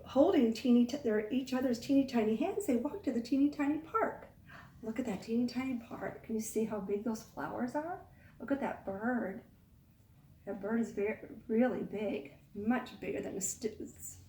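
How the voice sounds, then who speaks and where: A woman reading a picture book aloud to young children, expressive, pausing to ask the listeners questions. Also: a little high, a little hiss or hum. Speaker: children's storytime reader. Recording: echoey room, far from mic.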